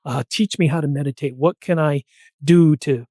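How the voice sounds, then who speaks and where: A man's voice: fairly high pitch, normal speed, mellow, dry recording, studio quality. A man talking casually on a podcast panel in a musing, conversational way. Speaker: podcast speaker.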